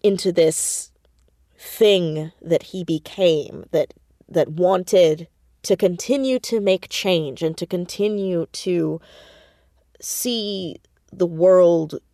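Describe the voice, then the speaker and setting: Woman guest speaking expressively, fairly low-pitched. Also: takes it slowly, mellow, no echo, studio quality. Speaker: audio drama creator and voice actor, interview guest. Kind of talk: casual, animated interview chat.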